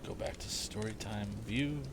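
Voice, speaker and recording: A man's voice: fairly low pitch, normal speed, noisy background. A man hosting a livestream, chatting casually and thinking aloud between stretches of book reading. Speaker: storytime livestream host and reader. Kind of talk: casual stream chat and book narration.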